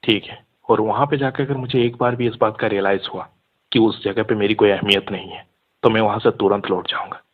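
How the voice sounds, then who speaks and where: A young man with a fairly low, husky voice talks casually over a narrow, muffled phone line. He is insistent and argumentative, slipping English words into his sentences. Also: steady tone, fairly quick. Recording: muffled phone call audio.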